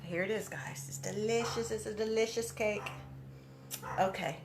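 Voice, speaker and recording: A woman's voice: mid-range voice, normal speed, very noisy. A woman from Texas with a Texan accent, hosting a casual live cooking stream and chatting with viewers by name in a kitchen. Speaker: cooking livestream host. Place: home kitchen.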